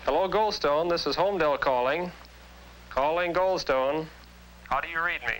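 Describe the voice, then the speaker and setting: A man's voice: on the high side, moderate pace, a bit bright, low-quality recording. A man speaking as an engineer on a radio-telephone test call. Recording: old film audio with hum.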